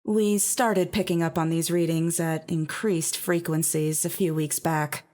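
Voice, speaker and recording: A woman playing a composed, reassuring research doctor in a visual novel, speaking in a measured, calm way. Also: low voice. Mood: businesslike. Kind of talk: dramatic visual novel voice acting.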